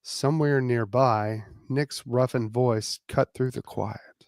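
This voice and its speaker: Slow, creaky male romance narrator. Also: medium pitch, steady tone, darkish tone, dry recording, clean studio sound. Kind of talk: dramatic scripted romance narration.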